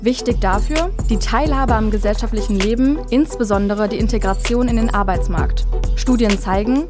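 A woman reading a scripted explainer in a clear, measured way. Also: a little high, music in the background. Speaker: narrator. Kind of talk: scripted narration.

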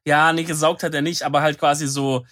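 A young man with a slightly nasal, stuffed-up voice chats loosely, piling on slang and fillers as he rambles. His voice sits a little high for a man. The recording is dry and close. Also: little pitch movement, a little fast, a bit bright, no echo. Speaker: podcast co-host. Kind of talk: casual chat.

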